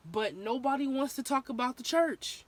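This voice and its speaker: A young woman with a sore, slightly hoarse throat, talking through her opinions in a candid, rambling vlog full of ums and restarts. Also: high-pitched, moderate pace. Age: young adult. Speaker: vlogger.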